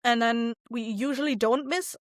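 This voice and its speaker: A woman's voice: a little high, moderate pace, no room sound, clean studio sound. A woman speaking as an interview guest, explaining her work as a director in a conversational style.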